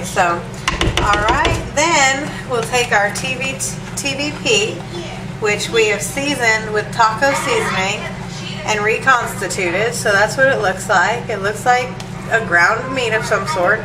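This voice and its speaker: Home cook, woman, a bit higher voice, stuffy from allergies, casual and rambling; distant camera mic, roomy kitchen echo. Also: measured pace. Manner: chatty, relaxed, lots of ums and asides. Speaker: home cook showing a recipe. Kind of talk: casual cooking demo. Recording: echoey room, distant mic, hiss.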